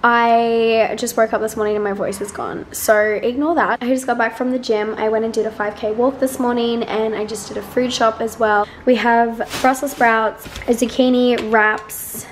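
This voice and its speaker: Hoarse, chatty woman. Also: a little high, flat delivery, normal speed, few pauses. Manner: chatty, bubbly, rambling. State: hoarse, lost voice. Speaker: vlogger. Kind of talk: casual vlog chat. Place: car and busy restaurant. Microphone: camera held at arm's length. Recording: loud crowd and road noise.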